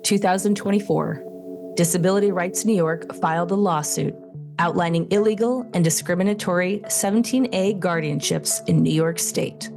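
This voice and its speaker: A woman narrates an advocacy video in a measured, formal voice. Her pitch sits on the low side, and faint music plays beneath her. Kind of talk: scripted voice-over narration.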